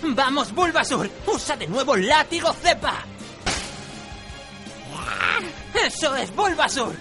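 A man playing an obsessive, excitable monster trainer in his twenties in a comic radio play. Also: extremely high pitch, moderate pace, over music. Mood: battle-excited. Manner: manic, excitable.

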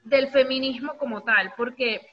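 A woman with a Venezuelan accent talking casually, telling things in an animated way with acted-out quotes, on a dull lo-fi recording. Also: high voice, normal speed. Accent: Venezuelan Spanish. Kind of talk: casual conversational talk. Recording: dull lo-fi audio with faint noise.

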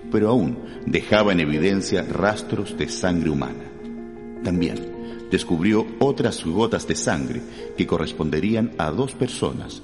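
Very deep, dramatic male narrator. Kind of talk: dramatic scripted true-crime narration. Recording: long hall reverb, music underneath.

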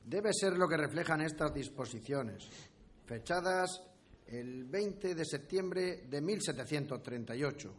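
An actor playing a deferential eighteenth-century town scribe in a radio play, courteous and official, with precise formal delivery. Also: male voice, fairly high pitch, animated, unhurried. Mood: official, approving. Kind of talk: scripted historical radio play.

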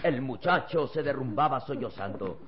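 A man's voice: medium pitch, lively intonation, moderate pace, background noise. A man narrating a radio serial in an emphatic, theatrical style full of suspense. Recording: dull old radio recording with music.

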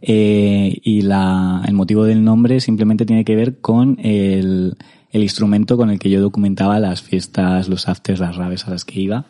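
A man's voice: on the low side, little pitch movement, a little slow, mellow. A young man from Madrid, chatting casually as a guest, hesitant and full of fillers and restarts. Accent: Madrid Spanish. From Spain. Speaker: guest photographer. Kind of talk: casual interview chat.

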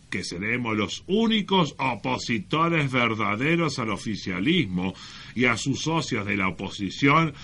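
Slow, forceful preaching friar, played by a man. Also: mid-range voice, breathy edge, heavily acted. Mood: righteous. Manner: solemn, deliberate, preachy. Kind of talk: parody sermon, radio sketch.

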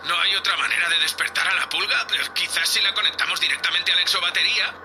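A man's voice: high voice, fairly even intonation, quick pace, bright, forceful tone, faint music behind, heavily acted. A man playing a nervous, hapless young spaceship crewman in an audio drama, stammering and hesitant. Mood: anxious. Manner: stammering, hesitant, breathy sighs.

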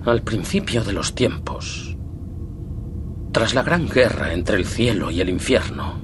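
An actor voicing a solemn narrator of a dark mythic prologue, speaking slowly and gravely in a dubbed series. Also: male voice, fairly low pitch, lively intonation, noisy background. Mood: solemn, ominous. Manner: slow, grave, portentous. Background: loud music.

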